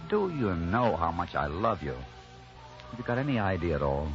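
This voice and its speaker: Stubborn, ardent hero, swooping delivery. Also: male voice, low-pitched, sing-song, normal speed, faint music behind. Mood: tender. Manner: dramatic, emphatic, insistent. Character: stubborn, ardent, protective male romantic hero. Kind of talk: old-time radio drama acting. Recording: dull, noisy old radio recording.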